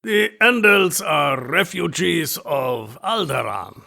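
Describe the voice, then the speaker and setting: An actor playing a genial, grandiose big-game hunter in an audio drama, with formal, courtly, emphatic speech and a gravelly, husky voice. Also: male voice, a little high, expressive, slow pace, bright, forceful tone, heavily acted.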